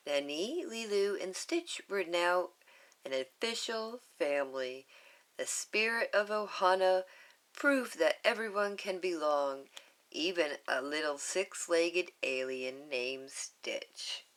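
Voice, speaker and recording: A woman's voice: lowish voice, lively intonation, takes it slowly. A woman reading a children's storybook aloud, with casual asides and chuckles. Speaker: storybook reader.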